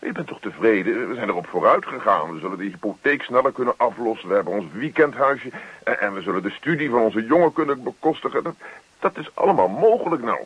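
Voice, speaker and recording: Casting: exasperated middle-aged husband, worn down by office stress. Husky, swinging delivery, radio drama. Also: male voice, medium pitch, expressive, fast, no echo. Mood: defensive, weary. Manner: grumbling, sarcastic, exasperated. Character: grumpy, weary middle-aged husband, stressed personnel manager. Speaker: actor. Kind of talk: naturalistic radio play acting. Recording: dull, dry radio play recording.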